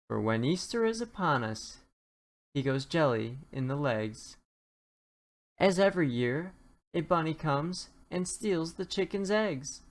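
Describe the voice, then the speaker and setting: Slow-paced storybook reader. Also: medium pitch. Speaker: children's book reader. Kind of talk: scripted storybook narration, rhyming read-aloud.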